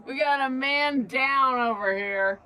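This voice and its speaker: An actress playing a wry, unflappable, wisecracking tavern bartender in a video game. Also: female voice, high-pitched, slow pace, sharp tone, heavily acted, rough recording.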